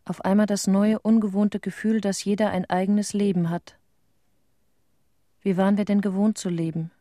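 An actress playing a troubled woman inspector recalling events in a radio play monologue, measured and reflective. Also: mid-range voice, little pitch movement, warm tone, no echo, clean studio sound, close to an everyday voice. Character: reflective female nature-protection inspector, guilt-troubled witness.

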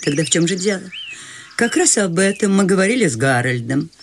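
A woman's voice: fairly low pitch, very animated, a little fast, slight background noise. A woman playing a free-spirited, chatty old countess in a radio play, coaxing and playful. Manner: playful, coaxing, sweeping swings of tone. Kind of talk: theatrical radio drama acting.

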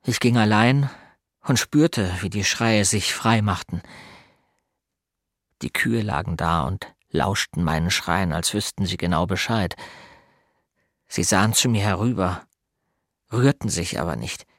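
A man playing a somber narrator who looks back on his boyhood in a radio drama, measured and grave. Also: low voice, a bit bright, no echo, clean studio sound. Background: music.

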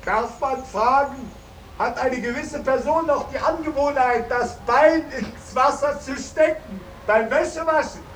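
An actor playing a proud, stiffly polite soldier sweetheart in a 1950s German radio drama. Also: male voice, extremely high pitch, unhurried, a bit bright. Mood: courteous, teasing. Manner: stiffly polite, speaks in third person. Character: Simon, formal, proud, courteous returning soldier sweetheart. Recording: roomy, distant 1950s radio recording, faint hiss.

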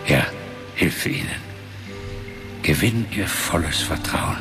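Prominent music plays under a low, gravelly voice. a fading ghostly father from a fantasy radio drama. He speaks very slowly and gravely, with breath in every phrase. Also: animated. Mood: urgent, weary. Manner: slow, grave, deliberate. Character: weakening ghostly father appearing to his son.

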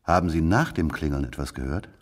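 An actor playing a brisk, persistent police detective with short, probing questions in a German radio drama. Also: male voice, very deep voice, lively intonation, recorded from a distance. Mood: probing, sceptical.

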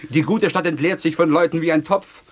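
Ein Schauspieler spielt in einem komischen Hörspiel einen gehorsamen, wortreichen, trocken besorgten Sklaven. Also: male voice, a little high, steady tone, brisk, lightly acted. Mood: worried. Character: obedient, wryly worried male slave of a vintner. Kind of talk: comic stage-style radio drama dialogue. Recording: 1969 German radio play.

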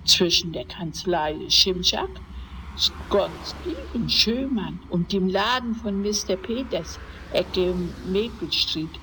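A woman's voice: mid-range voice, lively intonation, takes it slowly, sharp tone, slight background noise, low-quality recording. A woman playing a lonely, chatty old lady who rambles plaintively and self-pityingly in a parody radio play. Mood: plaintive, rambling. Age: older.

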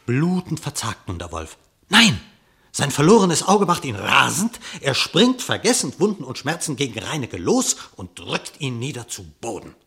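A man's voice: medium pitch, wildly swooping pitch, normal speed, gravelly, fairly bright tone. A man narrating an epic animal fable in verse for a radio play, with dramatic, vivid storytelling.

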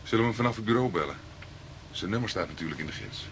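A man playing an eager, impatient younger police detective in a radio drama, speaking in a brisk, earnest way. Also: fairly low pitch, lively intonation, slight background noise, lightly acted. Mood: curious.

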